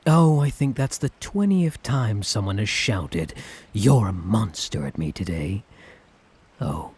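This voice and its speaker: A suave, lovestruck tyrant, played by a man for an ASMR roleplay, speaks in an unhurried, very husky murmur. He is theatrical and teasing, lilting widely as he dotes with condescending affection and a sadistic edge. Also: on the low side, heavily acted. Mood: mocking, amused. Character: suave, sadistic, doting male tyrant, obsessively in love.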